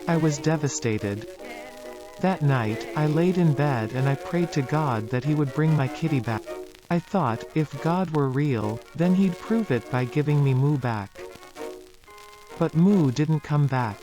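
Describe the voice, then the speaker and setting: A troubled research subject, acted by a man, recounts the past in an analog horror tape. The voice sits a little high and moves with solemn deliberation. Music plays clearly behind it. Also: animated, unhurried, low-quality recording. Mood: grieving, bitter. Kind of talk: analog horror found-footage acting, narrative monologue.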